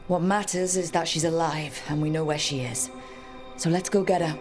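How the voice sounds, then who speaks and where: An actress playing a resolute, wry adventurer hero in a video game, speaking in a direct, conversational way. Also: female voice, low-pitched, fairly even intonation, normal speed, darkish tone, close to an everyday voice. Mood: wry resolve. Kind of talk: video game cutscene acting. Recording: music behind the voice.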